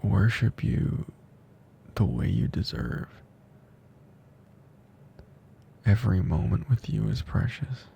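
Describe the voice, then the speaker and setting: A man playing a tender, teasing best friend confessing love in an intimate ASMR roleplay, speaking softly and slowly with breathy drifts into whispering. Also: lowish voice, big pitch swings, slight background noise, full character voice. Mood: devoted, heartfelt.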